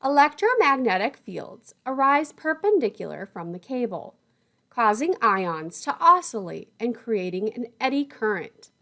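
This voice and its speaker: A woman's voice: a little high, sing-song, unhurried, bright, forceful tone. A woman lecturer reading scripted instructional narration in a formal, precise manner.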